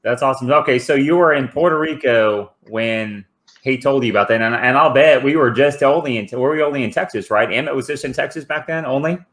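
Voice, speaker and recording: A man's voice: mid-range voice, a little fast, fairly bright tone. A man hosting a casual interview, friendly and affirming, with fillers like "kinda" and "uh". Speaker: host.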